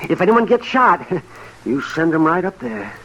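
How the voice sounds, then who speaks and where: A man acting a folksy, wry older frontier town doctor in a 1950s radio western, with animated talk. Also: sits high, measured pace, highs cut off, faint music behind, full character voice. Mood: wry, easygoing. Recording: old-time radio broadcast recording.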